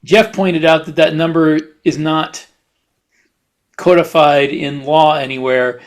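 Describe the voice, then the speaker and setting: Higher-pitched man in halting podcast chat. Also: steady tone. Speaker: podcast co-host and fiction writer.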